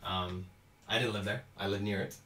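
A man in his thirties from Houston, Texas, teaching cello and chatting his way through a live-stream Q&A. His voice sits a little low, and he talks loosely, answering viewers and laughing easily. The small home room gives the sound a noticeable echo. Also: steady tone, normal speed, over loud music. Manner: chatty, reads out viewer comments, laughs often. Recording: roomy echo.

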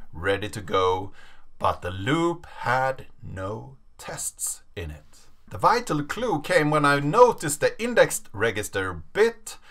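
Slow, bright-voiced man reading dramatically. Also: mid-range voice. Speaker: presenter reading a story aloud. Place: small indoor room, seated at a desk.

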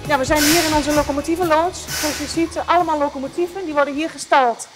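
High-voiced woman giving a museum tour. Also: steady tone, fast talker, music in the background. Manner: friendly, explanatory, with fillers. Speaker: museum guide. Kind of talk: guided tour explanation.

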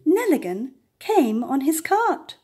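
A woman's voice: high voice, slow pace. Older woman reading a picture book aloud. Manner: expressive, animal sounds and playful emphasis. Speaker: storyteller. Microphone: camera above the book on a table.

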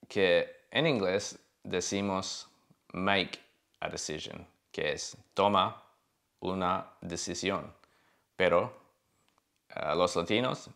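A man's voice: low-pitched, gravelly, a bit bright. A man in his thirties with an Australian accent, a native English speaker, carefully correcting common mistakes while sitting on a sofa. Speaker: co-host. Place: living room sofa.

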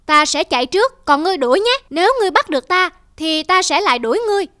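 A woman voicing a spoiled little rich boy in a cartoon folk tale, excitable and commanding. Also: extremely high pitch, fast. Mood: playful, bossy. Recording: music behind the voice.

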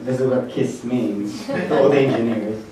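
A man with an Israeli accent whose first language is Hebrew, speaking as a panelist in a measured, plain way. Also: medium pitch, fairly even intonation, dark tone. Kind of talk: conference panel answer. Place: conference hall. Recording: echoey hall from far off, light noise.

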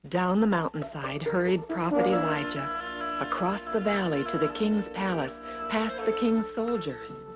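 A woman with a fairly low voice tells a story for children. Her reading is scripted, simple and expressive, with music clearly playing underneath. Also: unhurried.

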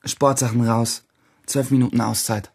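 A man's voice: mid-range voice, flat delivery, moderate pace, creaky, a little mellow, dry recording, clean studio sound. Wry, boastful schoolboy gang leader man actor, radio play narrator, middle register, short punchy phrases. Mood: wry, bored. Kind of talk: first-person audio drama monologue.

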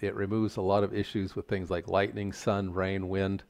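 An older man giving a measured, plain-spoken, step-by-step tutorial explanation. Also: sits low, flat delivery, a little mellow. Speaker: instructor. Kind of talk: explanatory tutorial narration over slides.

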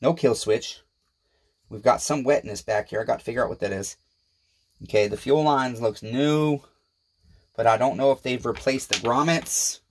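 A man's voice: mid-range voice, moderate pace, pauses often. A man in his forties hosting a small engine repair vlog, chatting folksily with his viewers from a cluttered home workshop. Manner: folksy, talks straight to viewers as neighbors, frequent fillers. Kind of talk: casual rambling vlog to viewers.

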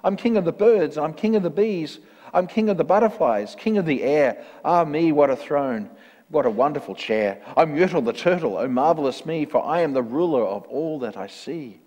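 A man's voice: medium pitch, animated, moderate pace, darkish tone, lightly acted. A man voicing a pompous, power-hungry turtle king in a children's storybook, bellowing boasts and commands in a reverberant hall. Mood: boastful, triumphant. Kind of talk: read-aloud children's storybook in verse. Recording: echoey hall with long reverb.

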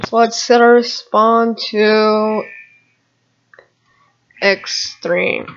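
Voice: medium pitch, steady tone, extremely slow, a bit bright. Dull-sounding young-voiced gamer rambling through gameplay. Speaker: gamer narrating own gameplay video. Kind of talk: casual gameplay commentary. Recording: dull lo-fi home microphone.

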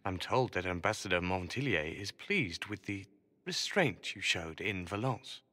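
An actor playing a formal, deferential spy agent delivering reports in video game dialogue. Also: male voice, deep voice, expressive, measured pace, a crowd in the background. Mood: formal, respectful.

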